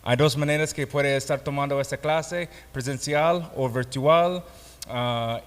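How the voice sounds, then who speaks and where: A male pastor lectures a class slowly in a non-native accent. His phrasing is halting, with a learner's grammar slips. His voice sits at a middling-to-ordinary male level and rings through a long hall reverb. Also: mid-range voice. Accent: foreign, non-native Spanish. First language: not Spanish. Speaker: pastor and Bible course teacher. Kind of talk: classroom lecture, explaining a course. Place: classroom or hall with students present.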